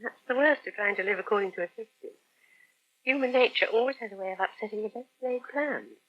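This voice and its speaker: An actress playing a sensible, reassuring wife in a radio soap. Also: female voice, fairly high pitch, fast, close to an everyday voice. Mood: practical, encouraging. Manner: reassuring, practical. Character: sensible, reassuring wife advising her partner. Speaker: actor in a radio serial. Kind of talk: 1970s British radio soap acting. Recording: poor, muffled old 1979 radio recording.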